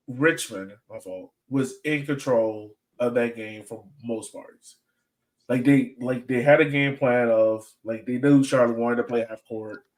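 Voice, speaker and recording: A man hosting a soccer podcast in casual, conversational talk. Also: medium pitch. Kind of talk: casual chat.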